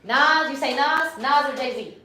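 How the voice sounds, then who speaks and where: A woman's voice: high-pitched, measured pace, bright, forceful tone, echoey room. A young woman from Chicago in her twenties, hosting a live stream in loose, slangy casual chat that keeps turning to the chat. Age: 20s. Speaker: livestream host.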